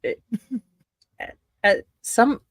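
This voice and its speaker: A woman co-hosting a livestream, reading a document aloud haltingly and adding casual, sarcastic asides. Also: a bit bright, no room sound. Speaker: livestream co-host.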